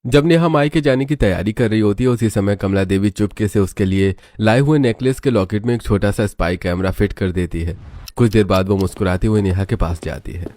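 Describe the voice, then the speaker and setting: A man's voice: on the low side, brisk, a little mellow, dry recording. A man reading scripted, dramatic narration for a cartoon story.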